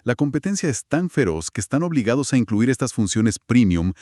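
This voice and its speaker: A man's voice: mid-range voice, big pitch swings, fairly quick, a little mellow, no room sound, studio quality. A man co-hosting a conversational explainer, speaking in an emphatic, explanatory way. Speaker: co-host of an audio gear review discussion. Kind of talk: conversational podcast-style explainer.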